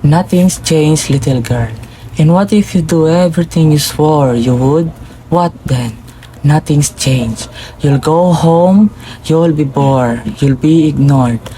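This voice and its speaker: Over noticeable background noise comes an eerie old man, voiced by a woman. The tone is low and soft-edged, and the slow, deliberate delivery is coaxing and sly, suited to a puppet show. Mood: coaxing, ominous. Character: crazy old man, eerie copy, slyly coaxing a girl. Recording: clearly noisy recording with ambience.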